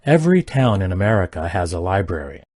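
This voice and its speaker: A man reading simple English practice sentences aloud, each one twice, in a careful, even delivery. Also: low voice, quick pace, no echo, clean studio sound. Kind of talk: slow repeated sentence reading for learners.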